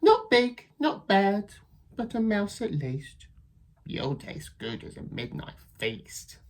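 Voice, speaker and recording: A woman voicing a small, brave, curious young female monster in a children's storybook, with a high excited voice. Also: takes it slowly. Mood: defiant.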